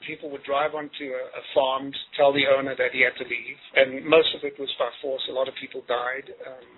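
A man's voice: mid-range voice, little pitch movement, brisk. A man in his sixties from Zimbabwe, a guest talking casually in an interview, hesitant with many ums and uhs. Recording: tinny phone-line sound.

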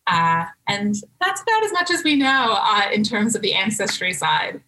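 Bright, articulate young woman guest. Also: mid-range voice, very animated, normal speed, a little hiss or hum, low-quality recording. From Canada. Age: young adult. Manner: articulate and composed, speaks in full sentences. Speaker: guest, brand co-founder. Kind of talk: interview answers.

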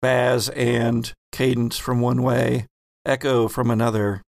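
A man acting as game master, explaining rules and narrating scenes in measured bursts. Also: mid-range voice, little pitch movement, creaky, a little mellow, no room sound.

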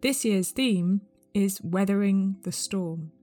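Woman doing a scripted podcast intro, medium pitch, guitar music playing under her. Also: slow pace, dark tone. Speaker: podcast host. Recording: music behind the voice. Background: plucked guitar music.

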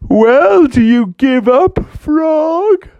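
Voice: sits high, extremely slow, no room sound, lo-fi sound, pitched above the performer's own voice, full character voice. A storyteller voicing a big, easygoing male elephant that can fly, in a bedtime story told to a child. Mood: teasing. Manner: easygoing, relaxed. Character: big friendly male flying elephant, laid-back.

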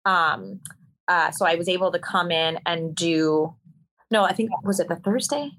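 A woman with a slightly low, bright and forceful voice tells stories as a guest in an interview. She is candid and expressive, with a bit of wry exaggeration in a loose conversational style. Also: measured pace, poor audio. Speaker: interview guest, musical theater performer.

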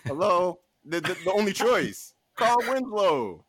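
A man's voice: high voice, very animated, unhurried, a bit bright, slightly rough, no room sound. A man hosting a podcast in casual comic debate, arguing emphatically with mock disbelief. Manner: argumentative, mock-incredulous, emphatic. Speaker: podcast host.

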